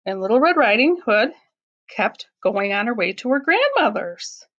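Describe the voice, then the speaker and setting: A woman's voice: on the high side, sing-song, moderate pace, fairly bright tone, highs cut off. A woman telling a children's story aloud, as a storyteller for young schoolchildren.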